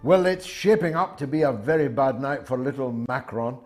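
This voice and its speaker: An older man with a Scottish accent hosting a call-in talk show, speaking forcefully and emphatically with many pauses. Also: mid-range voice, expressive, faint music behind.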